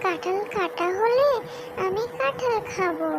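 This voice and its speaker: A woman's voice: very high voice, lively intonation, unhurried, background noise, heavily acted. A woman voicing a young fox girl in a children's cartoon, eager and wheedling in a childlike way. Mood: pleading. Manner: wheedling, repetitive pleading. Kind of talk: children's cartoon story voice acting.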